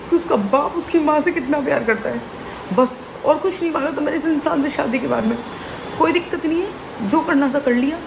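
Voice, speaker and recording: A woman's voice: fairly high pitch, noisy background. A young woman making a direct, confrontational personal statement.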